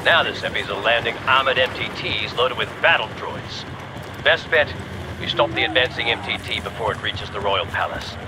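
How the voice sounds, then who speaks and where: An actor playing a gruff, hearty clone army commander giving soldierly orders in a video game. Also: male voice, mid-range voice, moderate pace, natural voice. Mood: urgent briefing. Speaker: military commander. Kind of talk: video game mission briefing. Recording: battle noise behind.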